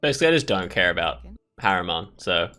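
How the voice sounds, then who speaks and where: A man's voice: low voice, big pitch swings, fast, over music. A man hosting a let's play, thinking aloud in informal, casual commentary on the game.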